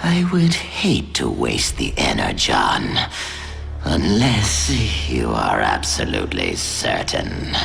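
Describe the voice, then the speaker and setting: An actor voicing a cold, commanding alien robot warlord with a harsh, gravelly, imperious delivery. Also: male voice, rumbling low, huge pitch swings, fairly bright tone, faint music behind, full character voice. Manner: deliberate, imperious.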